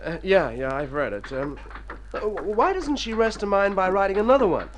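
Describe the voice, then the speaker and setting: A man's voice: high voice, expressive, normal speed, a little hiss or hum. An actor playing a flustered, wisecracking city man with a nervous stammer in a radio comedy. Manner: flustered, wry. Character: Tom Berryman, jittery wisecracking city man seeking a rest cure. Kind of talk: mid-century radio comedy acting.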